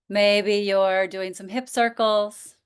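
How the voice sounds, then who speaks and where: A woman teaching yoga nidra, guiding relaxation in a gentle, inviting manner with pauses. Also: mid-range voice, level tone, smooth. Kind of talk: guided relaxation instruction.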